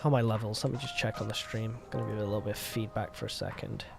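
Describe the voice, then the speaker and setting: A man's voice: lowish voice, fairly even intonation, rapid-fire, creaky. A man with a slightly stuffy voice chatting casually as a live game streamer, rambling with wry asides and mild swearing. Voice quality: stuffy, congested. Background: music.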